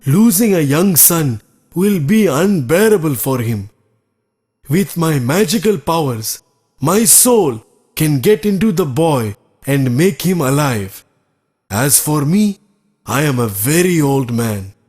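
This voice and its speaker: A man playing a kindly, selfless, very old sage in a children's cartoon, speaking solemnly and deliberately. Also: fairly high pitch, lively intonation, a little mellow. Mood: compassionate, solemn.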